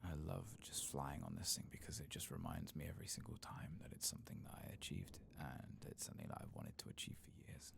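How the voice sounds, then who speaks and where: A tired man giving soft-spoken, partly whispered ASMR commentary on a game, murmuring unhurried asides to himself. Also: extremely low pitch, big pitch swings, gravelly, noisy background. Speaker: ASMR gaming streamer.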